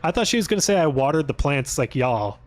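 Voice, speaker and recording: A man's voice: fairly high pitch, big pitch swings, fast talker, soft background music. A man giving casual, rambling gaming stream commentary, thinking aloud and joking as he plays. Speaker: video game livestreamer.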